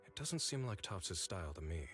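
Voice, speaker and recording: An actor playing a serious, measured male strategist in scripted game dialogue. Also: low voice, very animated, fairly bright tone, soft background music.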